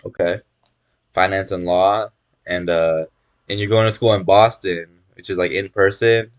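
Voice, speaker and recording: A young man from California making casual conversation, relaxed, with lots of fillers and restarts. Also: deep voice, a little slow. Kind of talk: casual chat.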